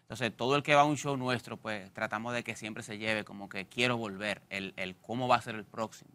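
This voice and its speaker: A man's voice: lowish voice, a little fast, smooth. A man in his thirties with a Dominican accent, a stand-up comedian talking animatedly as a guest on a studio interview show. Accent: Dominican Spanish. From Dominican Republic. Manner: gestures with his hands, lively and joking. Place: TV studio set.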